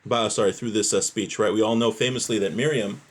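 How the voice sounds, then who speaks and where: A man's voice: on the low side, steady tone, fairly quick. Man teaching a religious text, explaining as he goes. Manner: explanatory, rephrasing and posing questions to listeners. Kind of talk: religious lesson, reading a text aloud and explaining it.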